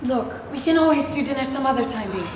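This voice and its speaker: A woman's voice: sits high, lively intonation, fast, a little mellow. An actress playing a polite, admiring, slightly awkward girlfriend in a stage play, speaking deferentially. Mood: uneasy. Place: theatre stage, recorded from a distance. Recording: echoey theatre stage from far off, faint noise.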